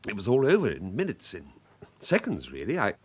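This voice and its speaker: An actor playing a shaken, apologetic bank manager in a radio drama, hesitant and polite. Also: male voice, on the low side, wildly swooping pitch, a little fast, husky, full character voice. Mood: shaken, flustered. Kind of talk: British radio drama acting.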